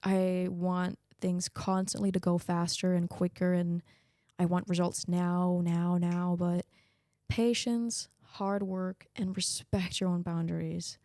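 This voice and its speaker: A woman's voice: on the low side, slow, no room sound, studio quality. A young woman guest, a Danish native speaker, talking candidly and with animation in a casual interview. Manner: candid and animated, laughing often.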